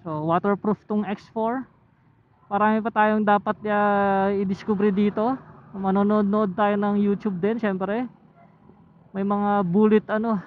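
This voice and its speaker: A motovlogger with a Filipino accent chats casually and thinks aloud in short halting phrases, speaking through a helmet intercom while riding. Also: mid-range voice, flat delivery. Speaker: motovlogger reviewing camera gear. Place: outdoors, riding a motorcycle. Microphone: motorcycle helmet intercom headset. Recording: tinny helmet intercom mic, road noise.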